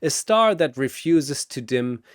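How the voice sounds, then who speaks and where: Dramatic male battle storyteller, slow and animated. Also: mid-range voice, no room sound, studio quality. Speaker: battle narrator. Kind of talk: dramatic scripted story narration with announcer flourishes.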